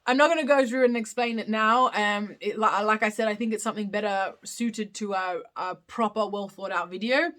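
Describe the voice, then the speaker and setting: Bright-voiced Australian woman, chatty. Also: medium pitch, normal speed, few pauses. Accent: Australian with slight British touches. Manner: chatty, rambling, frequent ums and self-corrections. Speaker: live stream host. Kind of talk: casual live Q&A chat.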